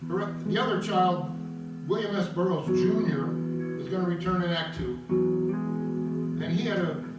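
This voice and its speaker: A man's voice: sits high, measured pace. A man narrating a scripted stage piece, reading out scenes and stage directions. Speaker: narrator and reader at an opera table read. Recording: roomy echo, distant mic, loud guitar. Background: loud plucked guitar music.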